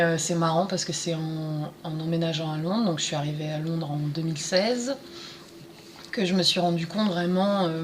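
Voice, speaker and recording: A woman speaks in a somewhat low voice. She talks casually, hesitating and restarting as she thinks. A faint background hiss runs under the recording. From France, Paris suburbs. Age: 20s. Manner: hesitant, many fillers and restarts. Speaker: interview guest. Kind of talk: casual conversational interview.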